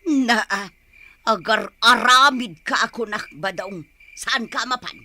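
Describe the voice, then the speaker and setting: A woman's voice: a little high, slow pace, sharp tone, slight background noise, exaggerated voice. A woman playing a nagging, exasperated, disapproving village woman in an audio drama, with an Ilocano accent. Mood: suspicious.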